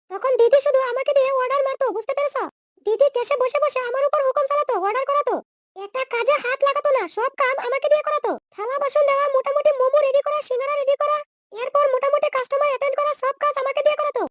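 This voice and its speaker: Casting: defiant, mocking young cartoon wife, extremely high squeaky voice, rattling along. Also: female voice, steady tone, rapid-fire, dry recording, clean studio sound. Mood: aggrieved, scheming. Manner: scolding, mocking, bossy. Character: brash, defiant young wife who schemes and threatens. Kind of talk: comedy cartoon dub.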